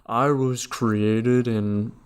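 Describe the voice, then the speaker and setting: Guy doing a calm polite ship computer voice, slow and flat, smooth. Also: mid-range voice. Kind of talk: quoting a film character in casual chat.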